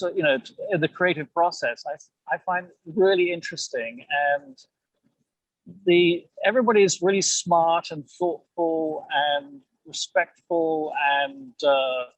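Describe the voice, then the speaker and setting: A man in a casual interview, speaking in a hesitant, halting, self-deprecating way as an amateur actor. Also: fairly high pitch, a bit bright, no room sound, rough recording.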